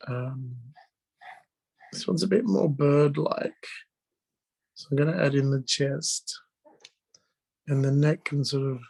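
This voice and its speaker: A man with a hoarse, strained voice from being sick, informally explaining drawing step by step as a teacher on a live video call. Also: mid-range voice, rough recording. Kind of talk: casual live online drawing lesson, talking while drawing.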